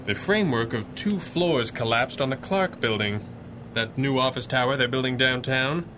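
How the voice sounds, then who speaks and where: A man acting as a masked hero from a 1930s radio serial, earnest and measured, at a middling pitch. His formal speech has a dry edge, with clear noise behind it. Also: lightly acted. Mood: grave, thoughtful. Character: composed, earnest masked superhero boss, a man.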